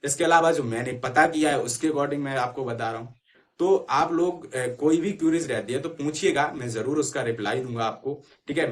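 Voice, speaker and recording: A man explaining things informally to students as an online exam-prep educator, urging them directly and often checking that they follow. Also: mid-range voice. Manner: repeatedly checks understanding with short tag phrases.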